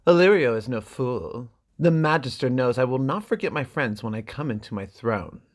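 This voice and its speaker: A man's voice: medium pitch, expressive, normal speed, close to an everyday voice. A man voicing an arrogant, scheming young exiled prince who threatens his sister. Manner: haughty, threatening.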